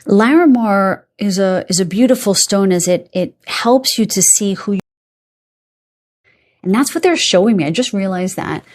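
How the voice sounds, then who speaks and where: A woman giving a spirit guide card reading to camera, speaking in a meandering, reassuring way, often checking in with her listeners and pausing to search for words. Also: mid-range voice, a little mellow. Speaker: psychic card reader.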